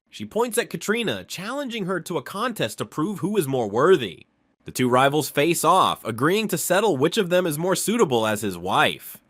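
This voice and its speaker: A man narrates a scripted recap of a story. His voice sits a little high and moves with very lively, animated intonation. The sound is dry, with no room around it. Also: dry recording.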